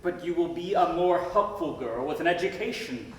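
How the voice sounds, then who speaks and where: A man playing an earnest, encouraging schoolteacher of the 1900s, speaking a little haltingly in a staged play reading. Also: a little high, animated, close to an everyday voice. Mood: earnest, persuading. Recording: echoey hall from far off.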